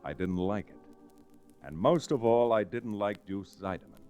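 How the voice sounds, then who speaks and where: An actor with an American accent playing a stern, upright lawyer-rancher, firm and measured, in an old radio Western. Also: male voice, lowish voice, darkish tone, faint music behind, natural voice. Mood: serious. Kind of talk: old-time radio Western acting and narration. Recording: noisy vintage radio recording.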